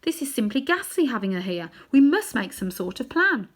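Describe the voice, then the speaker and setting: A woman's voice: fairly high pitch, big pitch swings, moderate pace, voice pushed up. Woman voicing an anxious indignant young boy. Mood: pleading indignant. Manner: pleading, exasperated.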